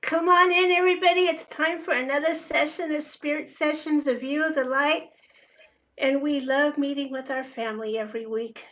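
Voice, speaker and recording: Cheerful high-voiced woman host, lo-fi. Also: steady tone, normal speed, fairly bright tone. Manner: cheerful, welcoming. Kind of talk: show opening. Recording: dull lo-fi sound.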